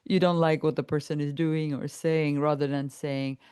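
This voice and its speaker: A woman hosting a reflective conversation, explaining ideas calmly and laughing easily. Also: low voice, normal speed, clean tone, no room sound, clean studio sound. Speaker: podcast host and trainer.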